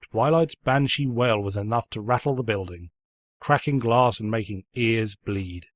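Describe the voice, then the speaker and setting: A man's voice: fairly low pitch, measured pace, no echo, studio quality. A man reading fan fiction aloud as scripted story narration, in a pompous, snide storyteller's manner.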